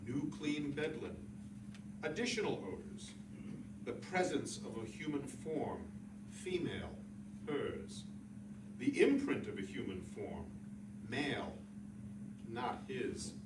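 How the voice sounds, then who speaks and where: A man's voice: medium pitch, big pitch swings, slow, long pauses. A man giving a dramatic reading of literary prose. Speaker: literary reader. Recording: roomy echo, distant mic, clear noise.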